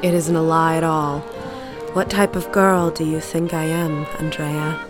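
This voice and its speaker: Woman acting low-voiced, prickly recluse. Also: little pitch movement, unhurried, music in the background. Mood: defiant. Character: reclusive, nervous, prickly mid-century housewife. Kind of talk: period audio drama acting.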